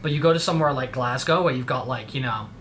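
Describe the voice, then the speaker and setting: A man's voice: mid-range voice, fast talker, fairly bright tone, a little hiss or hum. A man with an English accent hosting a livestream, chatting casually in a rambling, slangy way. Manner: rambling, heavy on slang like dude and bro. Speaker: livestream host.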